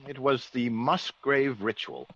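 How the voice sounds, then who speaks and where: An actor playing a genteel, easygoing English gentleman with polite, old-fashioned exclamations, in a classic radio drama. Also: mid-range voice, measured pace, slightly rough. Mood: genial, dismissive. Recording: dull old radio recording.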